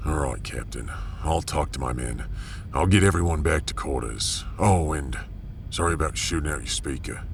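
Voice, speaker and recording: A man playing a gruff, proud middle-aged blacksmith with a blunt, weighty manner in a sci-fi audio drama. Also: very deep voice, normal speed, a little hiss or hum. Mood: grudging respect.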